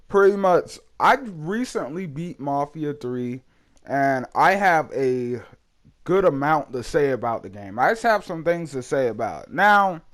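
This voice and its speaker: Young guy rambling about a game he just beat, lots of ums, kinda hoarse from a cold. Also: a little high. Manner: rambling, many fillers and restarts. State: a bit hoarse, coming down with a cold. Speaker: gaming channel host. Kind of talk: casual game review talk.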